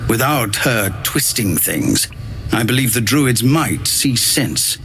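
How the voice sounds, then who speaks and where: Weary refugee leader, noisy background. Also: male voice, medium pitch, lively intonation, fairly bright tone. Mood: resolute. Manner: grave, measured, burdened by duty. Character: weary, earnest male tiefling leader of refugees. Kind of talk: video game dialogue acting.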